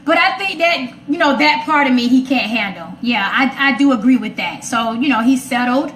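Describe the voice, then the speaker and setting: A woman with a high voice hosts a casual, personal talk. She is blunt and opinionated, driving her points home through repetition. The room rings with echo, and there is faint noise behind her. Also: expressive, moderate pace, fairly bright tone. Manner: direct, opinionated, emphatic repetition. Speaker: talk show host addressing live comments.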